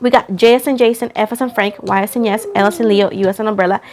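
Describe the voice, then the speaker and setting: A woman's voice: mid-range voice, steady tone, fast, a little hiss or hum. A woman giving a casual, chatty tarot reading, rambling in a self-deprecating way and repeating phrases for emphasis. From Puerto Rico. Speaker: tarot reader, host.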